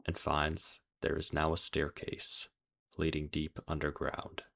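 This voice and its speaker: A man reading a scripted story recap as a narrator, in a deadpan, somber way. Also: rumbling low, unhurried, vocal fry. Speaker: video essay narrator.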